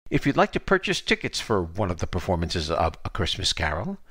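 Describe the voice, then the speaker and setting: A man's voice: sits low, wildly swooping pitch, fairly quick, fairly bright tone, breathy edge. An older American man hosting an arts podcast, announcing and interviewing.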